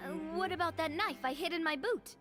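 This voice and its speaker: A woman's voice: very high-pitched, brisk. A woman voicing a bold, theatrical young adventurer who talks with grand confidence, in a video game. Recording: game audio with clear music underneath.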